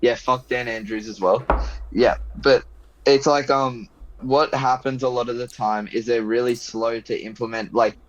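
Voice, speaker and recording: A man's voice: medium pitch, fairly even intonation, moderate pace. Young Australian man, joking profane banter. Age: young adult. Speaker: guest. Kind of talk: casual video call chat. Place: small room at home. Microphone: headset on video call. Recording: dry, dull video call audio.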